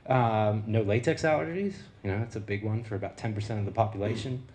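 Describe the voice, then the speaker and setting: A man's voice: on the low side, fast, a little mellow. An American man, a researcher, giving a conversational public talk with slides, full of fillers and restarts. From United States.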